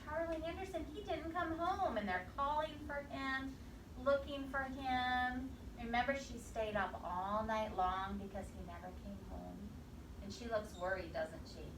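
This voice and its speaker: High-voiced woman teacher in an echoey room. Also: a little slow. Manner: prompting with questions, repeating the children's answers. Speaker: preschool or kindergarten teacher. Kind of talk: interactive picture-book retelling with young children. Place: indoor classroom. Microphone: camera a few metres away, voice through face mask. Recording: echoey classroom, distant mic, clear background noise.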